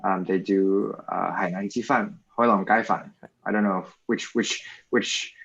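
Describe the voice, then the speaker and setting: A Canadian man with a very low voice chats as a guest in a relaxed interview. He pauses and thinks aloud as he answers. The sound is dull and slightly lo-fi, like an online call. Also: vocal fry. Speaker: interview guest, teacher community lead. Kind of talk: casual live interview answers.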